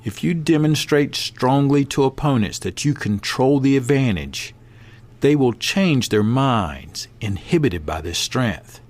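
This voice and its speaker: A man hosting a podcast, reading a passage aloud and adding his own commentary in a measured way. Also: mid-range voice, animated, a little mellow. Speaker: podcast host.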